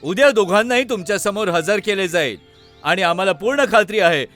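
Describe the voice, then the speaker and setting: A man's voice: high voice, expressive, fairly quick, fairly bright tone, soft background music. A man voicing a loyal eagle warrior who addresses his king with formal respect in a children's cartoon. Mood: eager, respectful. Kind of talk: animated children's story dialogue.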